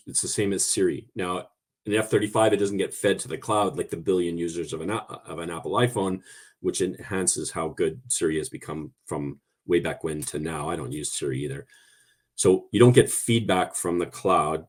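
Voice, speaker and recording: A man's voice: low-pitched, a little mellow, slightly rough. A man in his sixties, a former test pilot, telling blunt, emphatic stories as a guest in a casual podcast chat.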